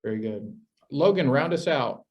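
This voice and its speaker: A man hosting a casual podcast interview with a mid-range voice. He affirms each guest briskly and hands off to the next. His talk is plain and friendly. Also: medium pitch, expressive, muffled recording. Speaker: podcast host.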